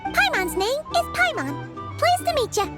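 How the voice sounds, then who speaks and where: Casting: tiny, chatty game fairy sidekick, extremely high squeaky voice, swooping and perky, music behind. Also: female voice, wildly swooping pitch, a little slow, exaggerated voice. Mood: friendly, curious. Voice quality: squeaky, childlike. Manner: refers to herself in third person, perky. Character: small childlike chatty fairy companion, eager and curious. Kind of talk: video game character acting.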